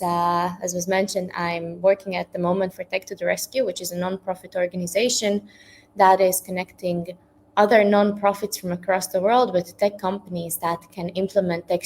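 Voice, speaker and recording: A woman's voice: mid-range voice, a little mellow. A woman of about thirty with a Latvian accent, talking informally as a guest speaker in a reflective, somewhat hesitant way. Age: around 30. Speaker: guest speaker, nonprofit tech professional. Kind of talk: informal career talk. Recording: faint background noise.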